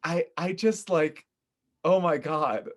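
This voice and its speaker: A man with an American accent, from upstate New York, talking casually as a podcast guest in an animated, opinionated, emphatic storytelling way. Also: a little high, slow. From upstate New York, United States.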